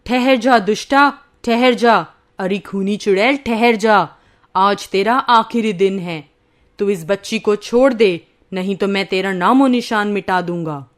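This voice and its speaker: A woman's voice: mid-range voice, measured pace, pitched below the performer's own voice, close to an everyday voice. A woman voicing a protective village holy man who gives orders with urgent authority in a moral story. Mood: stern, threatening. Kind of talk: dramatized moral-story narration.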